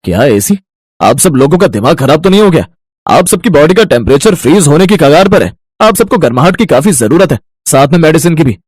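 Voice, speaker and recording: A grown man in a moral-story cartoon, performed by a male actor. His voice is on the high side and very dry. He exclaims in exasperation. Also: animated, fairly quick, no room sound. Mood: scolding. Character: men of a cartoon family and their doctor. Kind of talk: cartoon dialogue acting.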